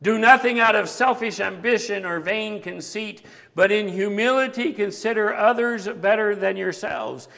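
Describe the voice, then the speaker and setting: A man preaching a sermon with a big voice that grows animated at times. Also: high voice, moderate pace, gravelly, hardly any pauses, in a large hall. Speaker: pastor.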